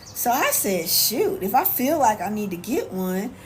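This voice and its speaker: An American woman chatting casually on a livestream as host, answering viewers in an animated, sassy, colloquial way. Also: medium pitch, unhurried. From United States. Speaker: live stream host. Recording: clear background noise, ambient sound.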